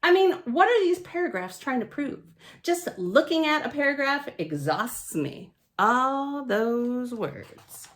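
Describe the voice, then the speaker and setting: A woman reading a picture book aloud to children, theatrically emphatic and playfully grumpy, with chatty deadpan asides. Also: high-pitched. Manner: theatrical, emphatic, with pauses and repeated words for effect. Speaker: library storytime reader.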